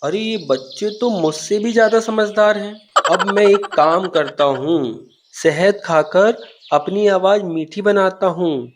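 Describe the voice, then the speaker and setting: A man performs a greedy cartoon hyena, a male villain with a rather high voice. He is sly and gloating, turning sing-song when he lures his prey. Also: slow, a little hiss or hum. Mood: scheming. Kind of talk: Hindi animated fairy-tale character voice.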